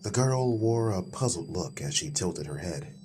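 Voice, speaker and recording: A man's voice: deep voice, lively intonation, unhurried, mellow, faint music behind. A man reading scripted fantasy audiobook narration as the storyteller.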